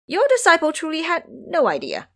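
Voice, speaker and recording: A woman playing a brash, excitable male monkey disciple who speaks in formal, emphatic storybook language, cheeky but pleading. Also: very high voice, normal speed, voice pushed up, exaggerated voice.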